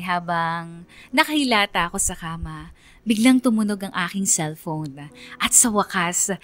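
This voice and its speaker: A lovesick young narrator, medium-pitched. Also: female voice, a bit bright. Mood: suspenseful. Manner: dramatic, confiding, colloquial. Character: lovesick young woman confessing her crush story. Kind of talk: first-person radio drama narration.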